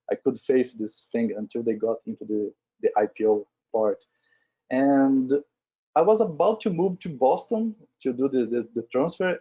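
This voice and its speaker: Brazilian man, mellow voice, slightly dull audio. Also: mid-range voice, husky. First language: Portuguese. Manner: conversational, frequently tags statements with "right?". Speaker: webinar speaker, agile leader at a retail company. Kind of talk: informal webinar presentation.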